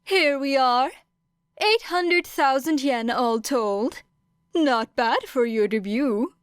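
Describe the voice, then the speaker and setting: A woman's voice: high-pitched, takes it slowly, smooth, dry recording, clean studio sound, pitched above the performer's own voice. A woman voicing a courteous, shrewd, upbeat animal school principal in an audiobook, with polite, formal phrasing. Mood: gleeful, triumphant. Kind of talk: acted audiobook dialogue.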